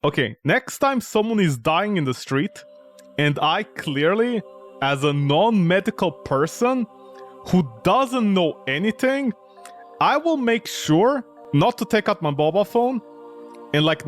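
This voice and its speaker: A male gaming host with a high, animated voice reacts to a game he is playing. He is sarcastic and incredulous, swears casually, and has music playing behind him. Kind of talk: playthrough commentary, reading aloud and reacting.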